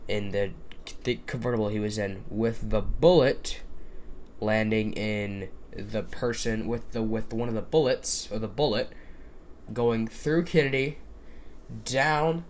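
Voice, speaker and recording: A man's voice: fairly low pitch, a little hiss or hum. A young man hosting a casual solo podcast, sounding stuffy from a cold, rambling with many fillers and restarts into a desk microphone. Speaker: podcast host.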